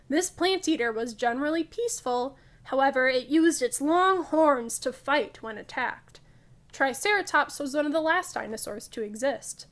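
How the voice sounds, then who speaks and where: A woman reading picture books aloud for young children as a storytime host, in a careful, expressive way. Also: high-pitched.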